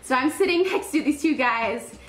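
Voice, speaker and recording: Lively, higher-pitched woman storyteller. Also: moderate pace, reverberant, soft background music, rough recording. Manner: acts out remembered reactions. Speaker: storyteller recounting a personal anecdote. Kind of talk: casual, animated anecdote telling.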